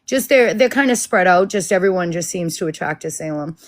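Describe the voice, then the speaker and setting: A woman's voice: lowish voice, animated, fairly quick. Woman, Boston accent, casual group video call, rambling and chatty. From Massachusetts. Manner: chatty, trailing restarts and fillers. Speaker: witch leading a spiritual group.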